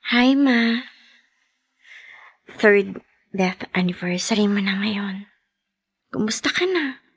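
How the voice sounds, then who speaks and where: An actress playing a young woman, a new graduate and affectionate daughter, speaking softly and tenderly. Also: medium pitch, animated, slow pace, breathy edge, no echo, full character voice. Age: young adult. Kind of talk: audio drama acting.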